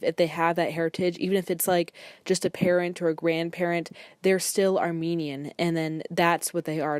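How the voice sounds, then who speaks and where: A young woman student presenting her project in a relaxed spoken way, often saying "kind of" and "like". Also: on the low side, smooth, no echo. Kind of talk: casual spoken report.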